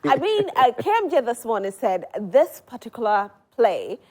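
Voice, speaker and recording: A woman's voice: fairly high pitch, sing-song, moderate pace, warm tone. A woman with a Ghanaian accent hosting a morning TV show, chatting casually and emphatically with a guest. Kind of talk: casual interview chat.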